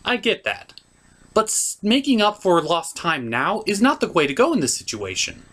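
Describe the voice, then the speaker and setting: A woman delivers a comedic movie recap in a sardonic, exclamatory way. Her pitch swings widely as she makes each point. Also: sing-song, normal speed, fairly bright tone, breathy edge. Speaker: puppet show reviewer. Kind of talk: comedic movie recap with acted character voices.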